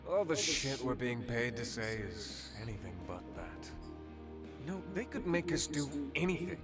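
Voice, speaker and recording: A man's voice: medium pitch, big pitch swings, normal speed. An actor playing a jaded, sardonic young male superhero in a visual novel, with a dry, grumbling, sarcastic delivery. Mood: jaded, bitter. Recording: music playing loudly behind the voice.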